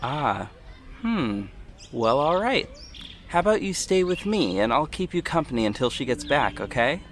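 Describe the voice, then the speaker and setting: A man playing a kind, protective hero in an audio drama, talking gently and encouragingly as if to a small child. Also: fairly high pitch, sing-song, moderate pace, a little hiss or hum. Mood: gentle, reassuring.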